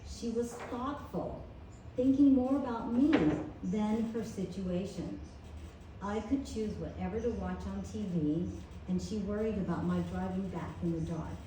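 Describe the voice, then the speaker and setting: A woman reads a eulogy at a memorial in a measured, tender way, her tone mellow. She is heard from a distance in a very echoey room, with faint noise behind her. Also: mid-range voice. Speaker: family member giving a eulogy. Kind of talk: reading a prepared eulogy. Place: memorial gathering in a large room.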